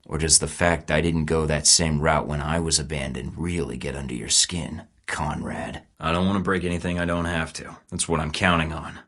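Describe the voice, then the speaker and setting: A cocky, low-voiced rogue spy. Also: male voice, fairly bright tone. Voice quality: sarcastic, taunting. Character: wisecracking male rogue spy, cocky and sarcastic. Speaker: video game protagonist. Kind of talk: game voice lines.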